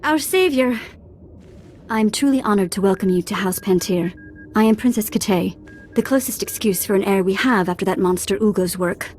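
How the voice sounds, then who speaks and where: A woman's voice: mid-range voice, moderate pace, faint music behind, natural voice. Woman playing a proud, vengeful princess. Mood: gracious. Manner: formal, forceful. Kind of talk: video game voice acting.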